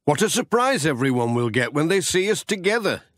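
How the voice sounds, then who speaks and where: A man's voice: a little high, big pitch swings, brisk, no room sound, studio quality, voice pushed up. A man reading the part of a friendly, good-natured village postman in a children's audiobook. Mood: grateful, cheerful. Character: cheerful friendly village postman, a little hapless.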